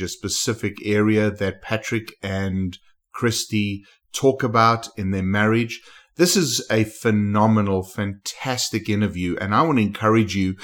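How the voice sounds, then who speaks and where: A man hosting a podcast, introducing guests in an enthusiastic, rambling way. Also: lowish voice. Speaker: podcast host. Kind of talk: casual podcast interview.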